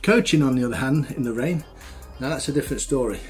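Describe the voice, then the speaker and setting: A man's voice: medium pitch, fast talker, over loud music. A man, chatting casually and matter-of-factly to camera as a vlogger. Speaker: vlogger and youth football coach. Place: changing spots: rooms at home, doorstep, open outdoors. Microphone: phone at arm's length. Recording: clear background noise, outdoor ambience.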